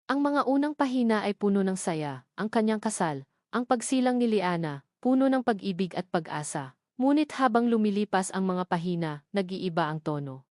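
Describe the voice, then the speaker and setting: A woman reads a scripted dramatic story as its narrator. Her pitch sits in the middle range for a woman, and the sound is dry and close, free of room echo. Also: expressive, moderate pace, darkish tone.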